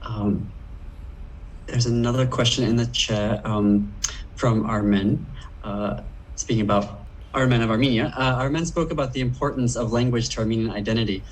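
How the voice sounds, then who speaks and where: A man's voice: mid-range voice, normal speed, a little hiss or hum, rough recording. Male moderator, mid voice, plain reader, video call. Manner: plain, reads questions aloud. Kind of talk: reading audience questions.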